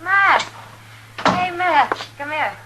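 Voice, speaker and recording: A woman's voice: very high voice, expressive, extremely slow, over music, heavily acted. An American actress playing a worldly, loyal saloon woman in a 1950s radio Western, talking in a frank and familiar way. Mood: knowing, teasing. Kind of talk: 1950s radio drama acting. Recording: noisy vintage 1950s radio recording.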